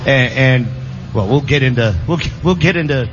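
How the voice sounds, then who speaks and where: A man's voice: mid-range voice, moderate pace. A man hosting a sports talk show, talking casually with many restarts and fillers. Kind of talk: casual sports radio chat. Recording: slightly muffled broadcast audio. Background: music in the background.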